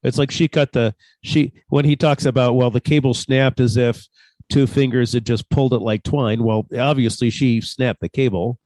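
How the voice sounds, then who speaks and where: A man's voice: medium pitch, fairly even intonation, moderate pace, a little mellow, dry recording, poor audio. Man thinking aloud in casual group chat. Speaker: cast member in a post-show discussion.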